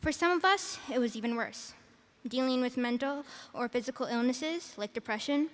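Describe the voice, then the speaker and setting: A soft-spoken teenage girl reads her graduation speech into a podium microphone. Her voice sits high and light. Long reverb from the big arena trails after every phrase. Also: normal speed. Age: teens. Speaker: salutatorian giving graduation speech. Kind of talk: prepared commencement speech. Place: large arena.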